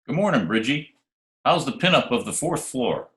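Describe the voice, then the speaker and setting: A man's voice: medium pitch, moderate pace. An actor playing a wry, hard-boiled private detective who narrates his own case in an old-time radio drama. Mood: teasing. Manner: wry, deadpan wisecracking. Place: at home, recorded remotely. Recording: roomy remote home recording, music behind.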